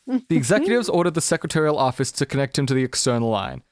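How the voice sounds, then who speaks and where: Streamer narrating a visual novel, plain even read, dry mic. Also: male voice, mid-range voice, quick pace, dry recording. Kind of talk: scripted narration.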